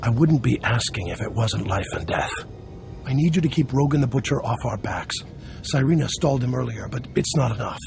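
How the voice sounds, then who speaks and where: An actor playing a jovial, scheming smuggler boss in a video game, gravelly and persuasive. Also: male voice, mid-range voice, expressive, brisk, background noise. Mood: upbeat persuading. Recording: faint music behind the voice.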